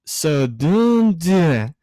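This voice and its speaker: A tipsy, bossy grown woman, acted out by a man, pitched up well above his usual voice in a dry recording. The delivery is rambling and emphatic, like a giggly guardian dispensing advice in a story reading. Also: sing-song, very slow, dark tone, no echo, full character voice. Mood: earnest, tipsy.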